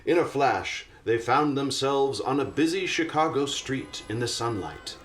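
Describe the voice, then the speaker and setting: A man's voice: mid-range voice, expressive, unhurried, a bit bright. A man narrating a radio play like a storybook, with dramatic, mock-literary delivery. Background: music.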